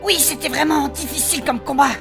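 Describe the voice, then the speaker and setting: Man playing Marco, a high-pitched flustered adventurer. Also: fairly quick, gravelly. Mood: annoyed, grumbling. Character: Marco, flustered grumbling reluctant comic adventurer. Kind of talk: comic fantasy audio drama. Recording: loud music behind the voice.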